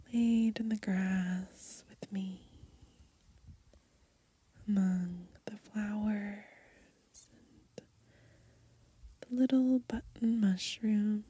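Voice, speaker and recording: A woman speaks in a very breathy, mostly unvoiced whisper. Her delivery is slow and gentle, with pauses between short phrases. The tone is soft and mellow, in the hushed style of an ASMR host reading aloud. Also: mid-range voice. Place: outdoors, lying in grass in the countryside.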